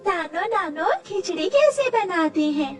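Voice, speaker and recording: A woman's voice: very high voice, lively intonation, unhurried, pitched above the performer's own voice. A woman voicing a sweet little girl bird in a cartoon story, bubbly, childlike and eager. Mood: excited. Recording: distant mic with music behind.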